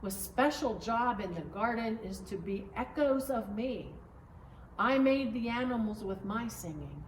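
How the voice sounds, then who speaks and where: A woman voicing God as a playful, kindly little girl in a children's storybook, with gentle, inviting phrasing. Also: fairly high pitch, animated, a little slow. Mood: encouraging. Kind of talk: children's picture book read-aloud. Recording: roomy echo, distant mic, faint noise.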